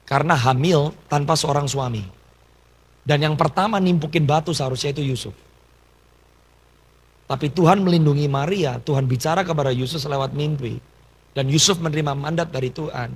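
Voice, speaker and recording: A man's voice: a little high, slightly rough. A man in his thirties from Indonesia, a pastor telling his life story in a conversational sermon and dropping in English phrases. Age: 30s. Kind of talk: testimony sermon.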